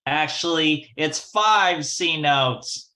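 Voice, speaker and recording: A man's voice: fairly high pitch, extremely slow, pushed and bright, exaggerated voice. A man playing a scheming young gambler in a 1940s radio crime drama, slangy and wisecracking. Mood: pleading, cocky. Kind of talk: 1940s radio detective acting.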